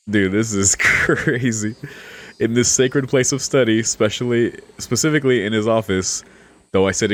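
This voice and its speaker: A man streaming a let's play, amused and slangy, commenting casually and reading lines aloud. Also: fairly low pitch.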